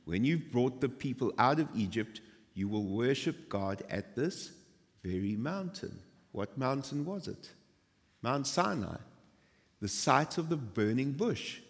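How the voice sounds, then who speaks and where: A man's voice: mid-range voice, unhurried. Man preaching in a reverberant church hall. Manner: animated storytelling with self-deprecating asides. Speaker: pastor. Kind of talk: sermon. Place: church stage with stage lighting.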